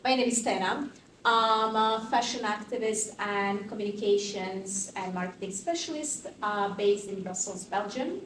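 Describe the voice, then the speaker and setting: A woman's voice: medium pitch, a little slow. Woman, 30s, from Croatia, activist interviewee, chatty and searching, off-mic with roomy echo and light hiss. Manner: animated, thinking aloud with many fillers. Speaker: interview guest, fashion activist. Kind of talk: conversational interview answers. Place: conference venue. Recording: distant mic in an echoey room with faint noise.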